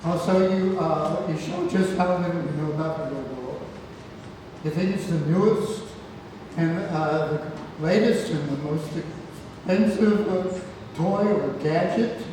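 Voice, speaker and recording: A man acts out a frail old grandfather in a stage play read-through, wistful and halting, with a fairly high voice that often trails off. The sound is very echoey and noisy, as if caught far across a hall. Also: a little mellow, lightly acted. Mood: bitter, reproachful. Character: frail, ailing old grandfather, wistful, tender, testy with his son. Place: theatre room, far from the mic.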